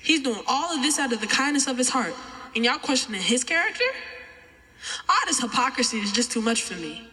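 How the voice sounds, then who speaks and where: A twelve-year-old American boy performing spoken-word poetry with emphatic, deliberate oratory. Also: extremely high pitch, lively intonation, pushed and bright. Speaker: young poet reciting. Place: large chapel, live audience event. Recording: echoey large chapel, long reverb.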